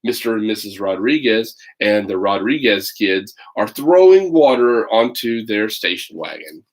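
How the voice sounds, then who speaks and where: A man's voice: fairly low pitch, expressive, unhurried. A man reading a children's picture book aloud, breaking off for casual, folksy asides to his listeners. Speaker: read-aloud storyteller.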